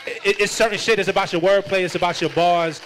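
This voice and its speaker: A man's voice: sits high, steady tone, fairly quick, a bit bright, a faint crowd, rough recording. An American man casually hosting a hip-hop interview, talking in an emphatic, hyped way and repeating himself for effect. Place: live event before an audience.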